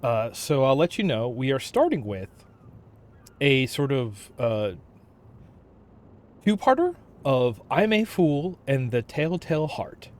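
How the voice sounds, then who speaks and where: A man chatting casually on a gaming livestream, thinking aloud in a halting way. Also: medium pitch, a little mellow. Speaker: game livestreamer.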